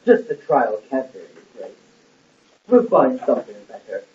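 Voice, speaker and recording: Man playing scheming male court official, smooth, measured, formal, insistent, stage drama, distant microphone, muffled lo-fi sound. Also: mid-range voice, big pitch swings, close to an everyday voice. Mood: coolly insinuating. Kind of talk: stage-play acting in an amateur puppet show. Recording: roomy, muffled, distant lo-fi recording.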